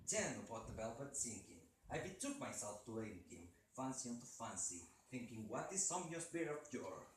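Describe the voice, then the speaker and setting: Echoey, distant teenage man reciting dramatically. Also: on the low side. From Chile. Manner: theatrical, swinging from hushed to loud. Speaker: student reciting at a reading contest. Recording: very echoey room, distant mic, faint noise.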